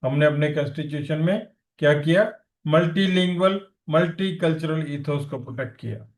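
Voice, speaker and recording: Brief: Indian male teacher, Punjabi first language, slightly higher voice, lecturing and quizzing students, switching between Hindi and English. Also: racing, roomy sound. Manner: explanatory, asks students questions, mixes Hindi and English. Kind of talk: online class lecture.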